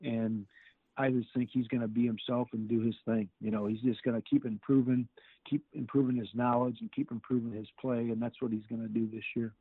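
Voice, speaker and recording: A middle-aged man, a football defensive coordinator, answering interview questions in a halting way and often repeating words. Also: medium pitch, level tone, slightly rough, no room sound. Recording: tinny phone line.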